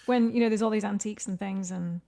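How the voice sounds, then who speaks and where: A woman's voice: mid-range voice, fairly even intonation, fast talker, creaky, dark tone. A woman co-hosting a podcast, chatting casually and agreeably about a TV show in short conversational remarks. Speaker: podcast co-host.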